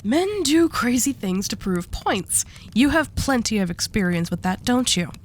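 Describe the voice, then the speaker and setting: A woman's voice: a little high, big pitch swings, measured pace, slight background noise. A woman playing a commanding yet haunted, sardonic female mafia boss in an audio roleplay. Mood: sardonic, serious.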